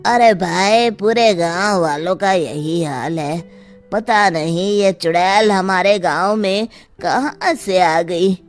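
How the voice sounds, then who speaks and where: A woman's voice: lowish voice, slow, soft background music. A woman voicing an anxious, earnest male village farmer in a cartoon. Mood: worried.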